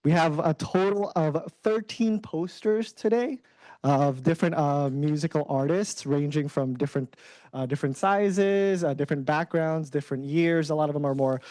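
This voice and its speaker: A man raised in the Philippines who speaks with an American accent, giving a casual presentation to students, halting and full of fillers, often checking in with "right?". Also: on the high side, a little mellow, dry recording.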